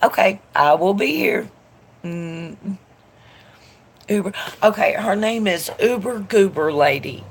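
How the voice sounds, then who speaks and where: Stuffed-up woman, gravelly voice. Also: mid-range voice, expressive, takes it slowly, pauses often. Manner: chatty, rambling, greets viewers by name. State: stuffed-up nose from allergies. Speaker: tarot reader live-streaming to chat. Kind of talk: casual live-stream chat reading comments aloud.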